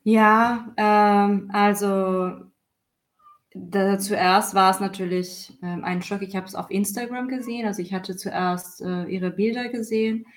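A woman's voice: mid-range voice, fairly even intonation, unhurried. A woman from Iran, a musician and guest, speaking thoughtfully with frequent fillers. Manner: thoughtful, fillers, varying pace. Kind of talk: casual interview talk.

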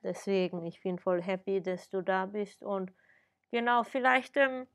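A woman hosting a radio interview, warmly enthusiastic and hesitant, with many fillers. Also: mid-range voice, unhurried, slight background noise. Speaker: radio show host.

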